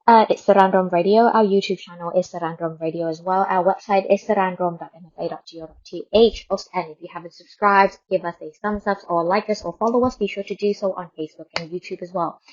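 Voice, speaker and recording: A woman's voice: lowish voice, fairly even intonation, fast talker, hardly any pauses, a little mellow, highs cut off. A woman from Thailand hosting a radio programme, chatting casually and informally in a rambling way, repeating words for emphasis. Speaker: radio host. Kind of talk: casual radio chat and news update.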